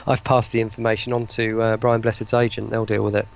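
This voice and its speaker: A man speaks as a guest in a casual interview. His voice has a gravelly creak, and he talks haltingly, often doubling back on words as he explains things. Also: on the low side, little pitch movement. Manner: hesitant, repeats words mid-sentence. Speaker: interview guest, voice pack producer.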